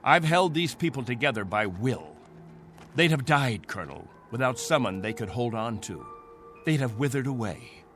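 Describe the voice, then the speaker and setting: An actor playing a domineering, proud captain of stranded space settlers in a radio drama, speaking with unyielding authority. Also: male voice, mid-range voice, animated, moderate pace, fairly bright tone, soft background music, natural voice. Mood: firm.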